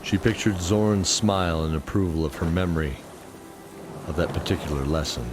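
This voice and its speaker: A man reading scripted fantasy audio drama narration in a measured storytelling manner. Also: sits low, steady tone, background noise. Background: music.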